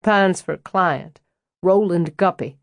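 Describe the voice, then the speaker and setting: A laid-back man with a drawl, as a woman narrator puts him on, teasing and flirtatious as he talks. The voice sits on the low side, recorded very dry and close. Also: takes it slowly, no echo. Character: easygoing flirtatious southern man, teasing landscaper.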